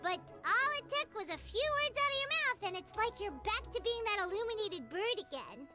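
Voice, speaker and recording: A tiny floating fairy sidekick with a very high, bouncing, childlike voice that leaps all over the place. She is bubbly and cheeky, teasing the others in game dialogue, with soft game music playing behind her. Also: sing-song, fairly quick. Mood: curious. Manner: bubbly, teasing, refers to herself by name. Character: small floating female fairy companion, chatty and cheeky.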